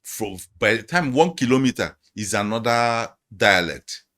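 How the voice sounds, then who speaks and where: A man's voice: medium pitch, animated, unhurried, a bit bright. A man, a guest analyst in a conversational interview, explaining emphatically and repeating his phrases.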